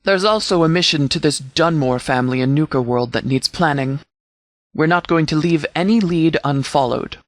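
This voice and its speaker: A man voicing a stern, commanding sheriff who leads a wasteland militia in a video game, speaking in a formal, measured, authoritative way. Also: fairly high pitch, dry recording, natural voice. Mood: businesslike. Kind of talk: scripted video game dialogue.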